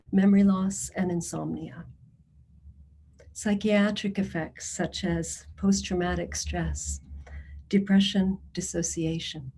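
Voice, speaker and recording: A woman addresses a committee in a measured, earnest manner, with a warm, mellow tone. Her voice sits a little low. She reads testimonies aloud in the first person. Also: a little hiss or hum. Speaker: social services presenter.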